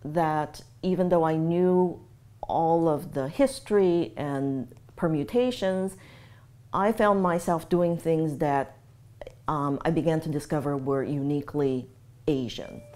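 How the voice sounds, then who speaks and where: A woman's voice: low voice, slow pace, warm tone. A woman giving a reflective documentary interview about herself, speaking in a thoughtful, articulate way. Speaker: artist.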